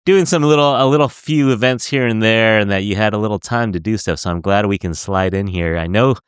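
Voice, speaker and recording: Man, podcast host, lowish smooth voice, dry close sound, chatty, giggly. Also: brisk, no room sound. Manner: chatty, giggly, rambling questions. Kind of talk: casual interview.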